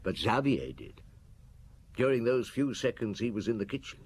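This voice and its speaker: An actor playing a shrewd, weary, middle-aged police detective in a radio drama, speaking in a measured, probing, dry and blunt way. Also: male voice, medium pitch, very animated, darkish tone, a little hiss or hum, close to an everyday voice. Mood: grave, explaining.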